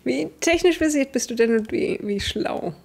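A woman's voice: high-pitched, lively intonation, normal speed, creaky, a little mellow. A young woman narrating and refereeing a tabletop roleplaying game as game master on an online video call.

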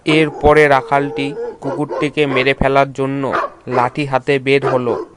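A man's voice: mid-range voice, completely flat delivery, unhurried. A man narrating a scripted children's story as its storyteller. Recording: loud background noise, animal sounds.

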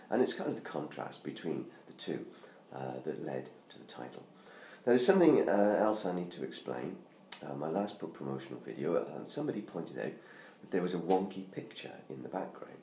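Hoarse, very deep middle-aged man. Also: expressive, brisk, few pauses, darkish tone. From United Kingdom. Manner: chatty, self-deprecating, many ums and restarts. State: hoarse from laryngitis. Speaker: author promoting his book. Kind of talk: casual self-made promo video. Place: living room at home by a Christmas tree. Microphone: tablet camera at close range. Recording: roomy home sound with faint noise.

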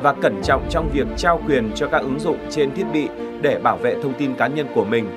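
A man's voice: mid-range voice, moderate pace. A man narrating a news report from a script. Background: faint music.

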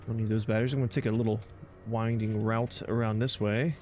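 A man's voice: fairly low pitch, little pitch movement, quick pace. A man giving casual gameplay commentary, informally thinking aloud as he plays. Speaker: gaming video host. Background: loud game music and sound effects.

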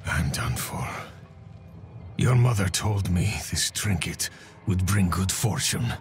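An actor playing a bitter, wounded guard in a dark fantasy game, weary, bleak and breathy. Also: male voice, low voice, lively intonation, slow pace, slight background noise, exaggerated voice. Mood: bitter, defeated.

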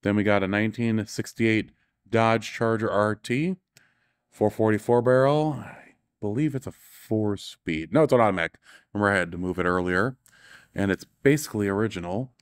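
Man, low smooth voice, casual walking tour host in an exhibition hall, dry close sound, eager and offhand. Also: moderate pace. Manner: enthusiastic, offhand, self-correcting. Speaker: show tour guide and author. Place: large indoor exhibition hall.

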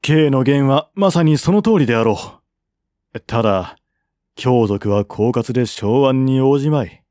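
A man's voice: medium pitch, a little slow, a little mellow, no room sound, studio quality, lightly acted. A man voicing a cautious, authoritative prince in a historical audiobook, with a grave, lordly manner.

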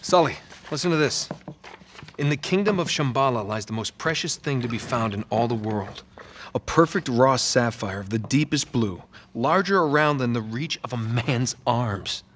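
A man's voice: mid-range voice, lively intonation, normal speed, fairly bright tone, slight background noise, lightly acted. An actor in his thirties playing a cocky, sardonic young treasure hunter in a cinematic video game. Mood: intent, scheming. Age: 30s. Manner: sardonic, conversational.

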